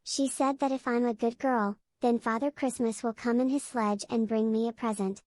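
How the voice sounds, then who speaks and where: Small girl of five played by a female performer: innocent, earnest, highish voice, audio drama, dry studio sound. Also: fairly even intonation, normal speed, a little mellow, no room sound, lightly acted. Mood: curious, hopeful. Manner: simple, earnest childlike talk. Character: innocent, curious five-year-old English girl.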